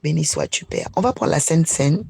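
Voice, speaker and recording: A woman's voice: low voice, wildly swooping pitch, moderate pace, vocal fry, warm tone, breathy edge. A woman leading a prayer meeting as a prophetess, speaking spontaneously and repeating phrases as she searches for words.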